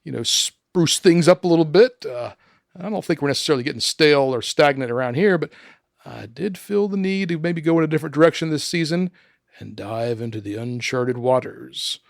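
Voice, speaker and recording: A man's voice: fairly high pitch, lively intonation, fairly quick, gravelly, no room sound, studio quality. A man hosting a podcast in a casual, conversational style. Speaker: podcast host.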